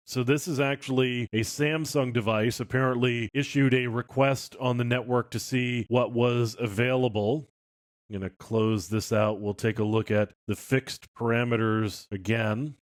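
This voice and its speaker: Man giving a dry, close-recorded software tutorial. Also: medium pitch, no room sound. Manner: informal, unscripted walk-through. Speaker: instructor. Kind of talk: screencast tutorial, explaining as he demonstrates.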